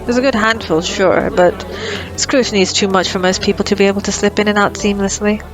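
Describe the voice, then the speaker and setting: A woman's voice: mid-range voice, fairly even intonation, fairly quick, faint music behind, natural voice, rough recording. A woman playing a cocky, flirtatious female vampire in an ASMR roleplay, sardonic and playful. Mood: wry, coaxing. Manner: sardonic, playful, casually swearing. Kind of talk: ASMR roleplay audio drama.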